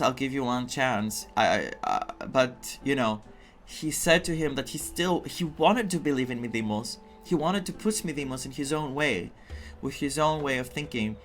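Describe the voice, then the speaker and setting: Earnest male streamer with mid-pitched voice. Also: fairly bright tone. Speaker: game streamer. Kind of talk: casual reaction commentary.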